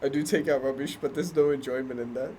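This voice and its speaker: A young man in casual podcast chat, loud and skeptical, quick to push back. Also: medium pitch, fairly even intonation, background noise. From Australia. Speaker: podcast host.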